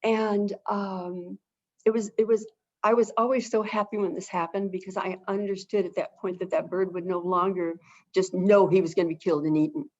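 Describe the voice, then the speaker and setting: A woman speaking at an ordinary middle pitch, calm and gentle, who keeps circling back to the same phrases. She is explaining things as a guest on a video-call webinar. The audio is dull and lo-fi. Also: moderate pace. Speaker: avian behavior expert, webinar guest. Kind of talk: casual explanatory talk.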